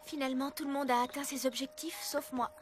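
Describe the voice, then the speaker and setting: An actress playing an earnest, self-doubting teenage fairy princess in a cartoon film. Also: female voice, sits high, steady tone, brisk, a little hiss or hum, natural voice. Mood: dejected. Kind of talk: French cartoon film dub.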